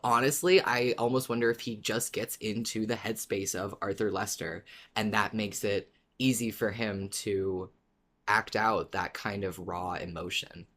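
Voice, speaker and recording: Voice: mid-range voice, fairly even intonation, unhurried, fairly bright tone. A podcast co-host talking casually about a story in an effusive, heartfelt way. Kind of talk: casual fan discussion of a story.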